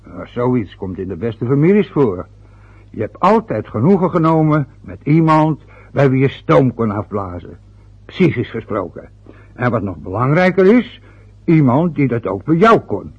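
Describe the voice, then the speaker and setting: A man's voice: mid-range voice, big pitch swings, measured pace, breathy edge, full character voice. An actor in a radio play voicing a domineering, sarcastic middle-aged father who mocks and lords it over his family. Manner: mocking, overbearing, sarcastic. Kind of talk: radio drama acting. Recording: dull lo-fi radio recording.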